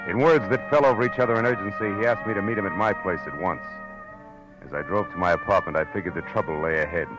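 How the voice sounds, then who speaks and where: An actor playing a tough, wry private detective in 1940s American radio style, clipped and slangy. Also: male voice, low-pitched, quick pace, close to an everyday voice, rough recording. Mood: tense narration. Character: hard-boiled male private detective, wry and unflappable. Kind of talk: 1940s radio detective acting, first-person narration. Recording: vintage radio recording with music behind.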